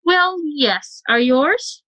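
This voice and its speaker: A woman's voice: high-pitched, expressive, extremely slow, pushed and bright, exaggerated voice. A woman playing a polite, indulgent suburban mother in a radio play. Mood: friendly, chatty.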